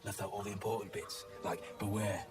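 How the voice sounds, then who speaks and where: Low-voiced, cynical British mercenary played by a man. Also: steady tone, a little fast, distant mic, over music, natural voice. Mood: bitter, sardonic. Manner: rough, sardonic, colloquial speech. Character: gruff cynical male mercenary survivor of a slaughtered crew. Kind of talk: video game dialogue acting.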